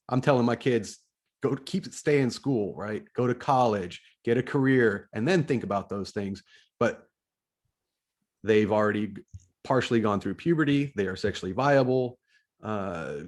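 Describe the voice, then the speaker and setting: A man's voice: fairly low pitch, darkish tone. A middle-aged man hosting a podcast, explaining his ideas in a casual monologue and often restarting phrases as he checks his notes. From United States. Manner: thinks aloud, often restarting phrases while reading from notes. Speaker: podcast host.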